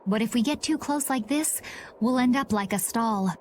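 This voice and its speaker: An actress playing a sharp young female party member who speaks in a matter-of-fact way, explaining. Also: fairly high pitch, normal speed, slight background noise, lightly acted. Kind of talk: video game voice acting.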